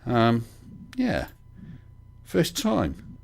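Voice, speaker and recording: Host with dry wit, lively pitch. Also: male voice, very slow, vocal fry. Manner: wry, dry humour, brings callers in. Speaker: livestream host. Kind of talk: casual call-in chat.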